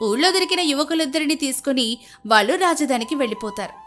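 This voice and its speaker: A woman's voice: sits high, animated, fast talker, fairly bright tone, faint music behind. A woman reading scripted folk-tale narration as the storyteller.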